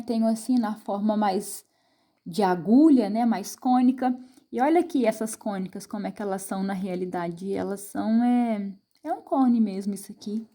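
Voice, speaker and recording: Brazilian lady teacher doing an online lesson, kinda high voice, asks herself questions and answers them. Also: mellow. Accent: Brazilian Portuguese. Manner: frequent tag questions to the viewer. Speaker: science teacher. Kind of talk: online science lesson for children.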